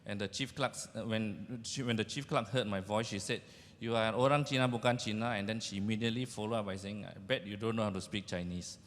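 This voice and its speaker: A man's voice: fairly low pitch, fairly even intonation, fairly quick. A man with a Singaporean accent informally moderating a panel on stage. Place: large indoor event hall with seated audience. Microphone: handheld microphone. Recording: echoey event hall.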